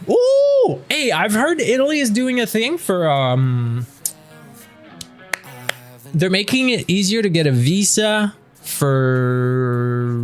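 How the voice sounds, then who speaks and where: A man chatting casually with his live-stream viewers before starting a game, reading their messages aloud and answering them. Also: high voice.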